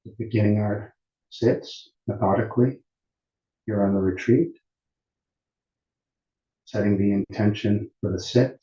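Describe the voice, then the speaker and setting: A man with a low, very mellow and dark voice gives meditation instruction. He speaks calmly and deliberately, leaving long pauses. The sound is muffled, with a clear roomy echo. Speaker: meditation teacher. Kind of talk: guided meditation instruction at a retreat.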